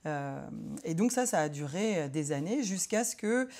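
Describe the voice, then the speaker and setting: A woman psychologist in an interview, talking reflectively with many pauses and hesitant fillers. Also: fairly low pitch, lively intonation, darkish tone.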